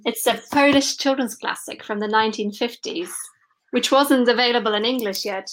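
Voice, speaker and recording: A woman's voice: on the high side, normal speed, fairly bright tone, rough recording. A woman from Belgium, a children's book publisher, talking on a video call with many restarts and frequent laughs. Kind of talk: remote interview.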